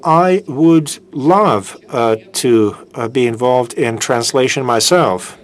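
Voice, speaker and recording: Slow, halting man welcoming an audience. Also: medium pitch. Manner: slow and halting, with many filler pauses. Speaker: business school program head introducing a guest speaker. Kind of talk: formal welcome speech at a book launch. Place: lecture room with an audience. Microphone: handheld microphone.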